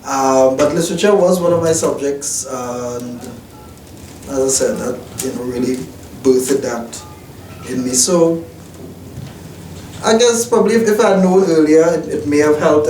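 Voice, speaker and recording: A man's voice: a little high, normal speed, a little mellow. A young man, a newspaper reporter, giving hesitant, reflective interview answers full of restarts and "you know" fillers in an office. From Trinidad and Tobago. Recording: roomy, distant mic, faint noise.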